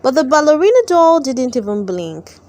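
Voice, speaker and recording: A woman narrating a children's storybook aloud, with careful, expressive storytelling. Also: high-pitched, normal speed. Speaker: storyteller, channel host.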